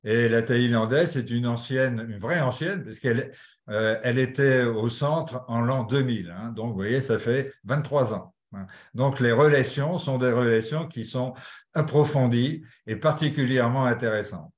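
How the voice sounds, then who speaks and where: A man's voice: medium pitch, normal speed, roomy sound. A man presenting as a centre manager in an informal way, hesitating as he searches for words.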